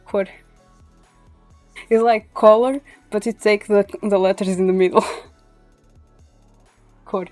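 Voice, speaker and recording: A woman with a soft-edged, mellow voice chats casually on a livestream. She is a native Portuguese speaker and speaks haltingly at times, feeling for the English word. Music plays behind her. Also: mid-range voice, fairly even intonation, dry recording. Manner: halting, searches for English words. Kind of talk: casual chat.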